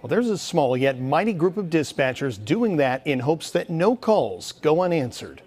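Higher-pitched American male news reporter. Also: very animated, normal speed, a little mellow, slightly rough. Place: TV station newsroom.

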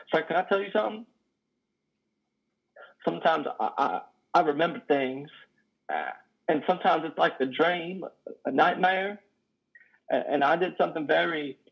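A man in his thirties playing a lonely, guilt-ridden, simple-minded trucker in a play reading, with halting, folksy speech. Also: on the high side, creaky, breathy edge. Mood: haunted, confessing. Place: indoor room on a video call. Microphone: headset. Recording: muffled video-call audio.

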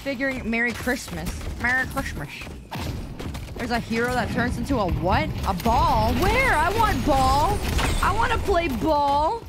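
Midwest gal streaming games, kinda high voice, goofy singsong chatter, game noise blasting behind. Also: female voice, expressive, a little slow. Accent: Midwestern American. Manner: playful, chatty, sing-song repetition. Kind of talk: casual gameplay streaming chat. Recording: loud game noise underneath.

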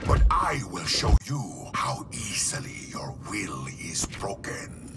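An actor playing a proud, vengeful alien supervillain in a video game, growling out grandiose threats in a gravelly voice. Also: male voice, low voice, wildly swooping pitch, slow pace, full character voice. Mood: proud menace. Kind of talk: video game cutscene acting. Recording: very loud music behind the voice.

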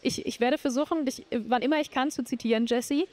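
A woman from Berlin moderating a live games panel, asking questions in a measured, casual way. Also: sits high, fairly even intonation, a little mellow.

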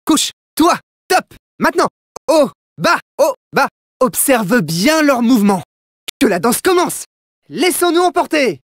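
A young, plucky gentleman thief in a French video game dub. His delivery is showy and cocky but loyal at heart, built on punchy catchphrases. The pitch swings in a lively way, and the sound is close and dry. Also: no room sound, heavily acted.

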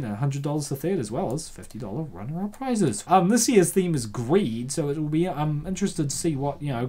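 A woman host with a mid-low speaking voice that swings widely in pitch. She comments casually and comedically, theatrical and excitable. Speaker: host reacting to a web comic.

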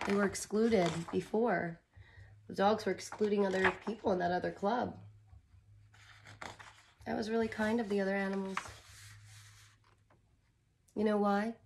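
A woman in her thirties reads a storybook to young children at a slow, deliberate pace. Her voice sits at a middle pitch for a woman. She speaks in simple phrases with expressive wonder, adding her own comments as she goes. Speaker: teacher reading aloud to children. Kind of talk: picture-book read-aloud with commentary for young children. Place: small indoor room, curtained corner.

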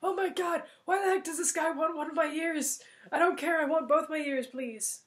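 A woman's voice: high voice, normal speed, pitched above the performer's own voice, close to an everyday voice. A woman voicing a frightened man, a dinner guest who pleads in alarm. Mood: panicked. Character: panicked male dinner guest fleeing.

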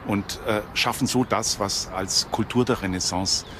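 A man's voice: lowish voice, steady tone, moderate pace. A man speaking as an expert presenter, explaining in his own words. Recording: noisy location sound. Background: ambient noise.

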